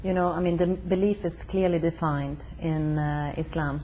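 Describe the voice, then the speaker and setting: A woman from Sweden, a guest in an interview at her home, who speaks haltingly with many fillers. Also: low voice, fairly even intonation, mellow, slight background noise. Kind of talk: conversational interview.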